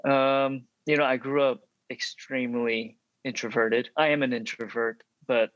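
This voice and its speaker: A young man from Texas talks through his early life in a casual interview, reflective and full of small hesitations. His voice sits at a middle pitch. The recording is dry and slightly dull. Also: steady tone, unhurried, fairly bright tone, no echo. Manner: reflective, many ums and restarts. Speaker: actor, podcast guest.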